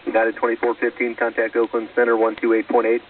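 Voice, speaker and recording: A man's voice: medium pitch, monotone, fast talker. A male air traffic controller giving procedural, clipped instructions over aviation radio. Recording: muffled, phone-like air traffic radio, distant.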